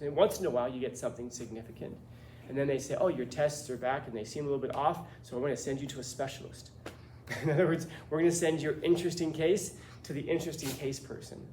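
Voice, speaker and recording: Blunt man lecturing a classroom. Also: fairly high pitch. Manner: blunt, animated, rhetorical questions. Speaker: teacher. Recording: echoey classroom with faint room noise.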